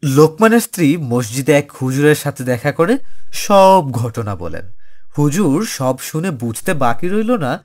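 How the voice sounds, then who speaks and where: A man's voice: a little high, very animated, moderate pace. A man narrating a scripted horror tale for a cartoon.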